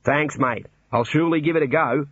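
A male narrator doing chatty aussie jailer, husky, upbeat, muffled audio. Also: fairly high pitch, a little fast, a bit bright, no echo, voice pushed up. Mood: jovial. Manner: breezy, matter-of-fact about grim things. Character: cheerful older male prison warder, jovial, chatty.

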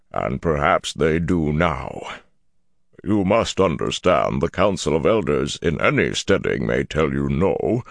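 An aged, dignified giant elder from a fantasy audiobook. The voice is low and creaky, rolling up and down as it deliberates. Words come slowly and haltingly, with a fretful weight. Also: male voice, dry recording, full character voice. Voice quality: gravelly rumbling. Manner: slow, deliberate, halting delivery. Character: ponderous dignified old male Ogier elder, slow and fretful.

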